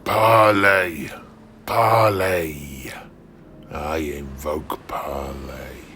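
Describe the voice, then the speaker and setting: A man voicing a menacing, courtly undead pirate captain with a gravelly voice and theatrical, archaic, drawn-out speech. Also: extremely low pitch, steady tone, bright, forceful tone, slight background noise, pitched below the performer's own voice, full character voice. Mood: sinister courtesy.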